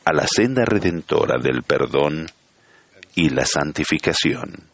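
A man giving a solemn, measured sermon as a church leader. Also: a bass voice, expressive, gravelly, dry recording. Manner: measured, solemn devotional delivery.

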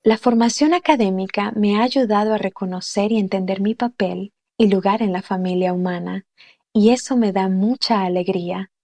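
Gentle woman giving a short testimony. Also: medium pitch, normal speed, no echo.

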